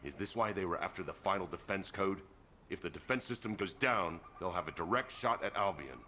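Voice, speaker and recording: An actor playing a gruff, steady soldier in a sci-fi game, speaking in a brisk, plain, firm way. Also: male voice, sits low, slight background noise. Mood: urgent. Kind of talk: video game cutscene acting.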